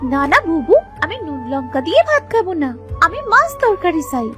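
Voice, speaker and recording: Woman voicing a very high-pitched stubborn cartoon little sister. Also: sing-song, brisk, over music. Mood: sulky, stubborn. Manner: childlike, insistent, pleading. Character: stubborn, food-loving younger village sister, a girl. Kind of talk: animated moral story voice acting.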